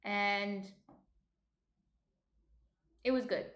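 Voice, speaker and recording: A woman's voice: mid-range voice, steady tone, extremely slow, a bit bright, roomy sound, highs cut off. A woman with a slight New Jersey accent hosts a baking livestream, reading viewer comments aloud and chatting as she cooks. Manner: chatty, thinks aloud while cooking.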